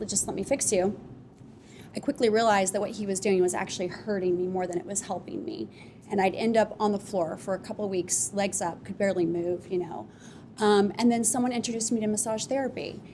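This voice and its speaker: A woman's voice: mid-range voice, slight background noise. Rambling woman telling her founder story. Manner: relaxed, rambling with many "um"s. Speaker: franchise founder, panelist. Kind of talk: storytelling on a live podcast panel. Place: office podcast studio with live audience.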